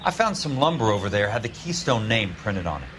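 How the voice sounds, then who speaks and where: A man's voice: lowish voice, sing-song, a little fast, fairly bright tone, slight background noise. An actor playing a terse, wry 1940s insurance investigator in a detective game, a man with an American accent and a businesslike manner. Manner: businesslike, dry. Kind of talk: video game detective acting.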